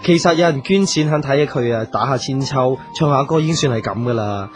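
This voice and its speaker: A man's voice: medium pitch, fairly quick, lightly acted. A man playing a brusque, exasperated show producer in a Hong Kong Cantonese radio drama, blunt and grudging. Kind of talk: festive radio drama acting. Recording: music under the voice.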